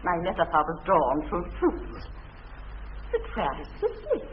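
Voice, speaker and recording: An actress playing a prim, pedantic middle-aged English governess, coyly amorous and lecturing, in a period radio comedy. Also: female voice, fairly low pitch, wildly swooping pitch, unhurried. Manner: lecturing, emphatic, fussy. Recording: dull old radio sound, faint noise.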